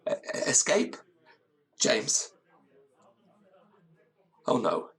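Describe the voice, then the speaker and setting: An actor playing a haunted, frightened man, telling his tale in halting, theatrical, literary phrases for a gothic audio drama. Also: fairly bright tone. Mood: ominous, resolved. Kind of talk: gothic audio drama, first-person storytelling.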